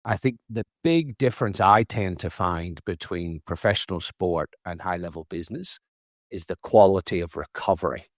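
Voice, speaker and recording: Low-voiced Irish man hosting a podcast. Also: moderate pace, dry recording, clean studio sound. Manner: chatty, repeats starts, casual swearing. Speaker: podcast host. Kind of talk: casual interview chat.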